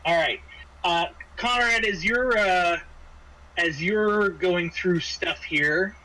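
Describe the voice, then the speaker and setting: A man's voice: sits high, takes it slowly, sharp tone, low-quality recording. A man running a tabletop roleplaying game as game master, narrating off the cuff and often repeating and restarting his phrases. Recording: faint background noise.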